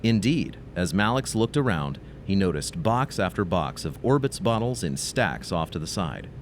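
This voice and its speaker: A man's voice: deep voice, sing-song, normal speed, slight background noise. A man narrating a scripted audio story in a dramatic, slightly wry manner.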